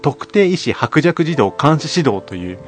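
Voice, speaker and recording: Polite, halting man with expressive pitch. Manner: polite, apologetic, halting delivery. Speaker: podcast cast member playing the bar master. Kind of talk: trivia explanation in a comic bar skit. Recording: faint music behind the voice. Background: faint music.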